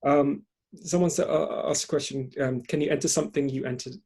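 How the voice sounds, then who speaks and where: A man's voice: medium pitch, steady tone, brisk, warm tone, husky. A man hosting an online panel discussion, speaking hesitantly and often repeating words as he goes. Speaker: event host.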